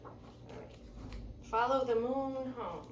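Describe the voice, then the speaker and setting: A woman's voice: on the high side, takes it slowly, highs cut off. A woman reading a children's picture book aloud expressively and explaining it in an instructive, teacherly way. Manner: instructive, explains and points things out. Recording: roomy echo, distant mic, faint noise.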